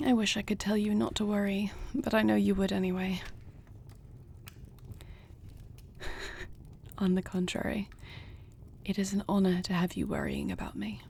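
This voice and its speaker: A woman playing a gracious, resolute dragon queen in an intimate audio roleplay, speaking to her spouse with measured, formal phrasing and soft affection. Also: medium pitch, lively intonation, gravelly, a little hiss or hum. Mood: earnest, reassuring. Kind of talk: intimate audio roleplay acting, addressing the listener.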